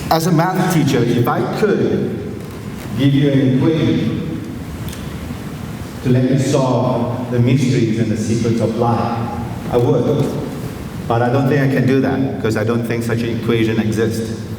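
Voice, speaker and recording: A male teacher with a Chinese accent giving an earnest, gently self-deprecating speech to students, with many pauses and fillers. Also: medium pitch. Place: large school assembly hall. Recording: echoey hall from far off with noise.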